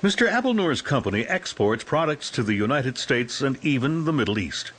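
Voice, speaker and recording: A man's voice: medium pitch, sing-song, a little fast, a bit bright, breathy edge. A man reading polished, upbeat promotional narration. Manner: polished, upbeat announcer delivery. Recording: voice-over over music.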